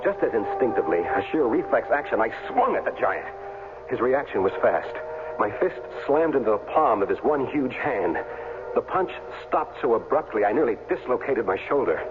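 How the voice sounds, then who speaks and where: A man's voice: medium pitch, big pitch swings, moderate pace, background noise, close to an everyday voice. An actor playing a wary, stubborn down-on-his-luck ex-athlete in a 1970s radio drama, plain-spoken and hard-boiled. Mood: grim narration. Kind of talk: 1970s radio drama dialogue and first-person narration. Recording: tinny vintage radio broadcast, music underneath.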